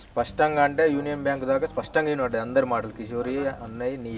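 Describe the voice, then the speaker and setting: Guy talking super quick, plain mid voice, casually reporting, bit far from mic outside. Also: mid-range voice, steady tone. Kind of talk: casual chat. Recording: slightly distant mic, outdoors.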